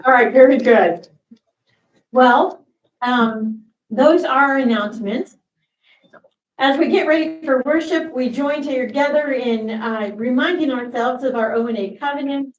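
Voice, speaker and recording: A woman pastor speaks in a slightly raised voice, relaxed and chatty with small fillers. She is heard from a distance in a roomy church sanctuary, her voice echoing off the walls. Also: high-pitched, a little slow, muffled recording. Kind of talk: church announcements and worship opening.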